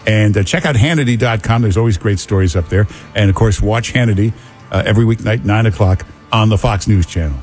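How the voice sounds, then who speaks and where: Low-voiced talk radio host, wry. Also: male voice, a little fast, a little mellow, faint music behind. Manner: wry, teasing.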